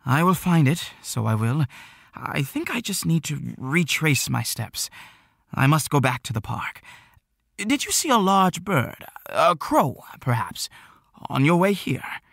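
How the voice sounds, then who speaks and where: A man's voice: a little high, big pitch swings, a little slow, vocal fry, fairly bright tone, no room sound, clean studio sound, heavily acted. A man voicing an earnest, secretive older male shopkeeper with quaint phrasing, for an audiobook. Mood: worried. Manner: quaint phrasing with tagged-on repeats.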